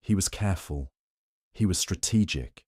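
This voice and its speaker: Deep, calm man narrating for sleep. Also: no room sound, studio quality. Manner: slow, measured and soothing. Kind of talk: calm scripted bedtime narration.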